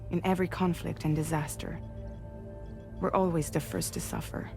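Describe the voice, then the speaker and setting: A woman's voice: low-pitched, very animated, normal speed, creaky, a little mellow, faint music behind, heavily acted. An actress playing a firm female commanding officer who takes charge and makes the call in a game cutscene. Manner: firm, decisive.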